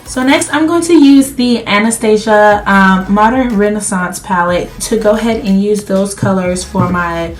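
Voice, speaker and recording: A woman's voice: medium pitch, moderate pace, few pauses, faint music behind. A woman beauty vlogger chatting casually to viewers through a makeup tutorial, her voice slightly crackly as she is losing it while under the weather. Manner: chatty, addresses viewers directly.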